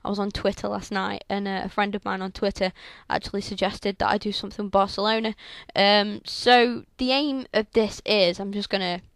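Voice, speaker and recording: Young woman w a sore throat, croaky gravelly voice, chatty game commentary on a headset, mid pitch. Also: steady tone, a little fast, hardly any pauses, dry recording. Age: young adult. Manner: chatty, rambling, lots of fillers. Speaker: gaming channel host. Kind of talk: casual video game commentary. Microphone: headset microphone.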